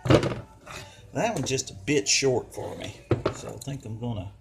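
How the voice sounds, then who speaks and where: A man's voice: medium pitch, expressive, unhurried, vocal fry. Folksy older man, music behind. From United States. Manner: folksy, colloquial, muttering to himself while working. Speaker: DIY mechanic, video host. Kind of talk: casual hands-on repair narration.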